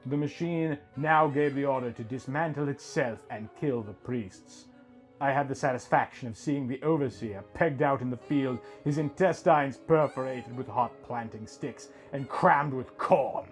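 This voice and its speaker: A man reading a scripted first-person story as a dramatic narrator, in a theatrical, deliberate manner. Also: medium pitch.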